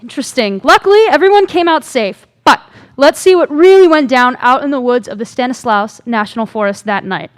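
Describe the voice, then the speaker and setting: A woman's voice: high voice, lively intonation, a little fast, no room sound. A woman playing a polished, upbeat presenter narrating a scripted true-story radio segment. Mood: upbeat, intrigued.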